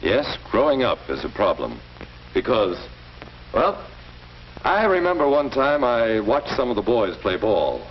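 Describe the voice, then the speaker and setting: Casting: middle-aged 1940s school principal, avuncular and measured, lively inflection, noisy vintage film sound. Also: male voice, mid-range voice, muffled recording. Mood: calm, earnest. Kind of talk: 1940s educational film acting.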